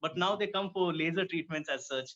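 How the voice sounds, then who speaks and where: A man's voice: on the high side, a little fast, fairly bright tone. A man from Kuwait speaking conversationally as a dermatologist guest in an interview. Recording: dull lo-fi audio.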